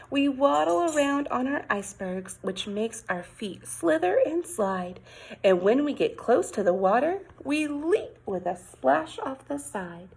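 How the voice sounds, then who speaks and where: A woman teacher reading a picture book aloud to young children, expressive and sing-song, coaxing with questions and exclamations. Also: a little high, creaky, husky.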